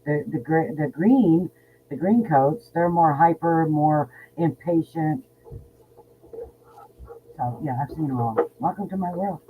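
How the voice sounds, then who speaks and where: A woman's voice: sits low, a little slow, pauses often. A woman in her fifties, a psychic medium guest, talking bluntly and outspokenly in a casual interview, often repeating words. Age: 50s. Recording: tinny phone line with faint noise.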